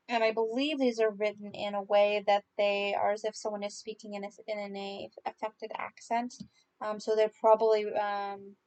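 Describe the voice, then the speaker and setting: A woman hosting a story podcast, reading folk tales aloud with informal asides and stumbling haltingly over unfamiliar words. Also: mid-range voice, a little mellow, poor audio. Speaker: podcast host and story reader.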